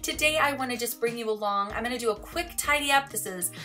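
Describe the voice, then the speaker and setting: Thirties woman chatting easy about tidying her house, laughs a lot, soft music behind. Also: fairly high pitch, fast talker. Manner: chatty, relaxed, laughs often. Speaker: vlogger. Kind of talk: casual voice-over chat. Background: soft music underneath.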